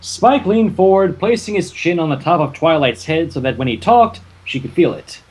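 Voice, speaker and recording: A man with a somewhat high, rough-edged voice hosts a casual reading. His intonation moves around a lot as he slips between excitable chat and storybook-style narration. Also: normal speed. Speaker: host reading fan fiction aloud.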